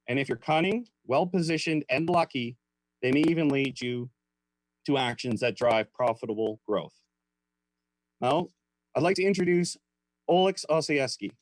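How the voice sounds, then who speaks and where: A man's voice: mid-range voice, no room sound. A man hosting an academic webinar, giving a prepared, formal introduction. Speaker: webinar host introducing a speaker.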